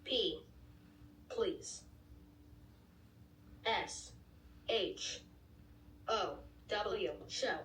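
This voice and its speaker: A woman's voice: medium pitch, drawn-out words, long pauses, fairly bright tone, recorded from a distance, slight background noise. A synthetic female voice from a speech device, spelling words out letter by letter and then saying each word. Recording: roomy device speaker heard from across the room.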